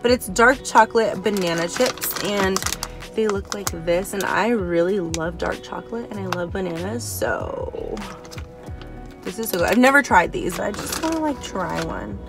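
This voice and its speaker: A woman's voice: medium pitch, expressive, normal speed, creaky, over music. A woman in her twenties talking casually and upbeat to the camera from the driver's seat of a parked car. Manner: chatty and upbeat, frequent "like" fillers. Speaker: vlogger. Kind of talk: casual vlog talk to camera.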